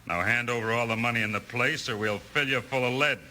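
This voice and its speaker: An actor playing a sly, threatening outlaw boss with rough frontier talk in a 1950s western radio drama. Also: male voice, medium pitch, fast, pushed and bright. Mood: menacing. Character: menacing outlaw boss running protection racket. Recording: noisy vintage 1950s radio recording.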